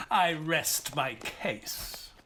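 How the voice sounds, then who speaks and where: Slow-spoken, wry doctor, expressive. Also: mid-range voice, background noise. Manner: dry, polite, wry. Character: English army doctor, dry-witted, proper. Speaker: narrator and companion. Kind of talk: audio drama narration and acting.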